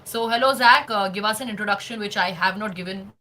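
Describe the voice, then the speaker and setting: A woman's voice: mid-range voice, quick pace, pushed and bright, a little hiss or hum. A woman hosting an interview show in a conversational, wordy way, often padding her phrases.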